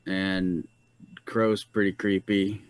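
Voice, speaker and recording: A man's voice: low voice, flat delivery, slow. A man playing a tabletop game, chatting casually and rambling with lots of fillers.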